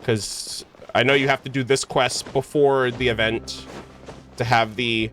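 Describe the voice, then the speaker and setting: A man's voice: medium pitch, fairly even intonation, a little slow, a bit bright, faint music behind. A man live streaming a game, chatting informally and jokingly with his viewers in slangy language. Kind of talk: casual game streaming chat.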